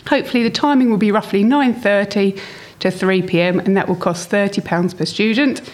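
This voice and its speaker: A woman teacher speaks to parents on a school podcast. She sounds friendly and speaks clearly, with a slight nervousness in her delivery. Her voice sits at an ordinary pitch. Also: brisk. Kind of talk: informational update to parents.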